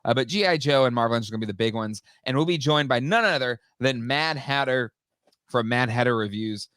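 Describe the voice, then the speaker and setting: A man's voice: mid-range voice, fairly quick, a bit bright, clear, resonant tone, no echo, clean studio sound. A man in his thirties or forties co-hosting a live toy show, slightly nasal from a cold, chatty and upbeat with many fillers. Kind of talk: casual live-stream chat.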